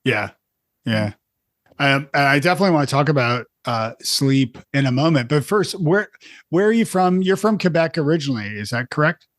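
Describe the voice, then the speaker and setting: A man's voice: on the high side, animated, moderate pace, no room sound. Podcast host guy, laid back and rambly, lots of uhs, dry close mic sound. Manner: friendly, rambling, self-deprecating, many fillers. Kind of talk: casual podcast interview.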